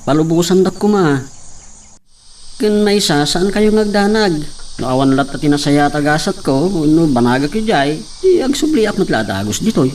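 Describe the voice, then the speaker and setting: A man's voice: on the high side, very animated, moderate pace, a little mellow. A man with an Ilocano accent playing an earnest young villager set on leaving for the city. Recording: distant mic with faint noise. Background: crickets and insects.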